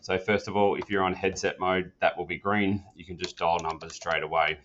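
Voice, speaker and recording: A man's voice: sits low, steady tone, a little fast, fairly bright tone, slight background noise. A man giving an informal product tutorial in a casual, plain-spoken, practical manner, like an instructor walking a learner through each step. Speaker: instructor demonstrating an office phone system. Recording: dull, muffled lo-fi sound.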